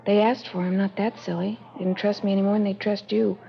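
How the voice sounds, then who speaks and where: A woman acts out a defensive young American woman under questioning in a vintage radio play. She talks fast and insistently, with a noticeable creak in her voice. The old recording is dull and noisy. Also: medium pitch, little pitch movement, natural voice. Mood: resigned, shaken. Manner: guarded, insistent. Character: defensive, evasive young woman, convict's former fiancée. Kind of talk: 1940s-50s radio drama acting.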